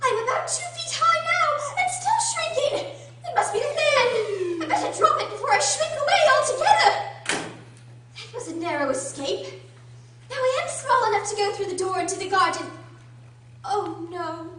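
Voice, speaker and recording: A woman's voice: very high voice, expressive, normal speed. A woman playing a curious, fretful little girl who thinks aloud theatrically in a radio drama. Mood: alarmed. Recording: roomy, distant, faint noise and hum.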